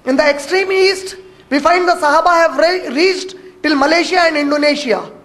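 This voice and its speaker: Very high-voiced man teaching Quran. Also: fairly bright tone. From India. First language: Urdu. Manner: measured delivery, rushing through honorific phrases. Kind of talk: religious lecture.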